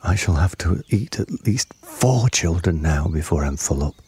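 A man voicing an enormous greedy crocodile in a storybook, scheming and muttering to himself with slow relish. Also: low voice, expressive, darkish tone, a little hiss or hum. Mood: hungry, grumbling.

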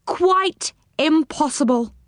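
Woman reader as resilient little fairy-tale man: matter-of-fact, highish pitch, expressive, dry sound. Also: moderate pace, no echo. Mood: gloomy.

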